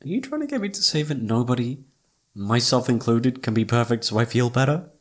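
A man playing a self-doubting, lovestruck best friend in an intimate ASMR roleplay, speaking softly and haltingly with tender hesitation. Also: medium pitch, animated, natural voice. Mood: self-doubting, regretful. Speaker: amateur voice actor.

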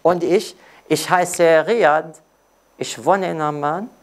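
A man's voice: a little high, slow. A man from Jordan with a Jordanian Arabic accent, a native Arabic speaker teaching a bilingual beginner language lesson and carefully repeating phrases for learners.

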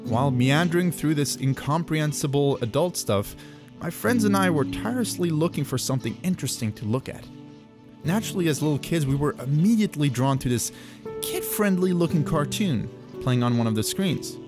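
A man's voice: fairly high pitch, expressive, fairly quick. A man narrating a scripted video essay as its host, in a conversational, reflective way. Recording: music under the voice. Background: background music.

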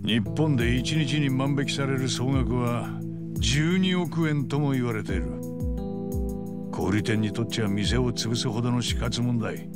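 A male actor voices a middle-aged security boss in a video game. He is gruff and blunt, laying things out in a businesslike, rough masculine way. His voice sits at an ordinary male pitch, with loud music playing behind it. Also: measured pace, lightly acted. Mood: businesslike, assured. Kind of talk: Japanese video game voice acting. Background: loud background music.

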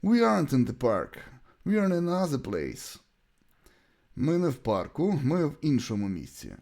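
A man with a Ukrainian accent, a native Ukrainian speaker, teaching English by deliberately reading out short example sentences and repeating them. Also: on the high side, huge pitch swings, gravelly, a little mellow. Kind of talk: bilingual language lesson, reading example sentences and translations.

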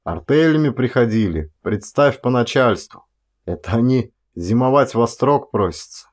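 Man acting a gruff, grumbling forest guard. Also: mid-range voice, lively intonation, normal speed, natural voice. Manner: folksy, loud, grumbling. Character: gruff, blustering male forest guard, former policeman.

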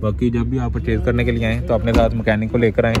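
Pakistani man, flat-pitched car market presenter. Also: fast, a loud crowd. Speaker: host of a used-car market video. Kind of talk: walk-around car review commentary. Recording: dull sound, clear outdoor traffic noise.

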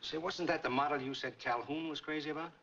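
A man's voice: on the high side, quick pace, natural voice. An actor in his thirties playing a breezy, wisecracking boyfriend with slangy talk in a 1940s film. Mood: wry. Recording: dull, faintly noisy 1940s film soundtrack.

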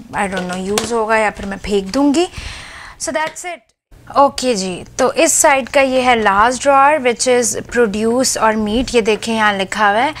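A woman's voice: a little high, fairly bright tone. A woman hosting a home cooking vlog, chatting casually as she shows how she organizes her fridge, slipping English words into her speech. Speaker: cooking channel host. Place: home kitchen.

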